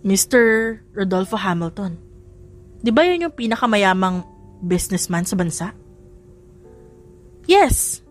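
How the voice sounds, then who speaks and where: A woman's voice: medium pitch, very animated, slow, pauses often, voice pushed down. A woman voicing a reporter's eager, suspicious friend with an encouraging, intrigued manner in an audiobook drama. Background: music.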